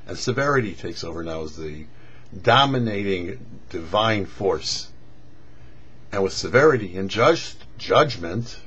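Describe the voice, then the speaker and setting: A man presenting religious commentary as a rabbi, teaching didactically in short, emphatic phrases. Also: fairly low pitch. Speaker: rabbi presenting a weekly Torah portion show. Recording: dull lo-fi audio with faint noise.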